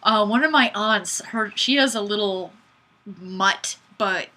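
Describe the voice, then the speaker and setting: A woman hosting a casual live stream, chatting and reading comments in a goofy, animated, exclamatory way. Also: mid-range voice, takes it slowly. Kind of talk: casual chat, reading viewer comments aloud.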